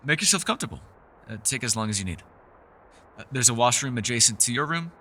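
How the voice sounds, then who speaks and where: Male actor as centuries-old solitary elf, very crisp forward bright tone, swooping intonation, courteous and awkward, old-fashioned wording, fantasy audio roleplay. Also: medium pitch, expressive, fast talker. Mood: polite, flustered. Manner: formal, old-fashioned phrasing, apologetic, chatty. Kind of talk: fantasy ASMR roleplay voice acting.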